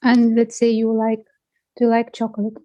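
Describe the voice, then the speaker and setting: A woman guiding a self-inquiry conversation, asking gently probing and playful questions. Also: on the high side, monotone, moderate pace, dry recording.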